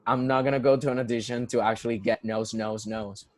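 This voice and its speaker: A young man speaks with a Puerto Rican accent, earnest and conversational, circling back with restarts and fillers. His voice sits in an ordinary middle pitch. The sound is dry, with hardly any room behind it. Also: fairly even intonation, fairly quick, no room sound. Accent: Puerto Rican Spanish. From Puerto Rico. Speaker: guest, musical theater performer. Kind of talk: casual conversational interview.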